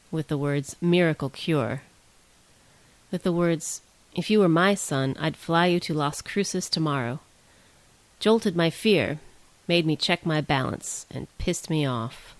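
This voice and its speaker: Low-voiced woman reading literary prose. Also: unhurried, no room sound. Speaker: story reader.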